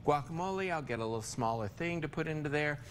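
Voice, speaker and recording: An older man with an American accent hosts a cooking demonstration in an informal, chatty way. His pitch moves around in a lively way as he explains each step. There is faint noise in the studio kitchen behind him. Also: quick pace. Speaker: TV chef, cooking segment host.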